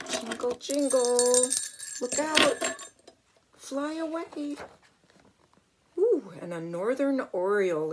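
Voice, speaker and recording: Older woman reading slowly to a child. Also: mid-range voice, noisy background. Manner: expressive, exclaiming, talking to a young child. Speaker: grandmother reading a picture book. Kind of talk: storybook read-aloud with chatty asides to a child.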